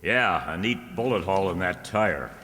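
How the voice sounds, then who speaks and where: Brief: male actor as sardonic, stubborn radio private eye, low and forceful, clipped delivery, recorded in a reverberant hall. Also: animated, moderate pace. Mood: alert, wry. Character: wisecracking, stubborn, suspicious male private detective. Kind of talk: old-time radio detective drama acting. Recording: echoey hall, long reverb.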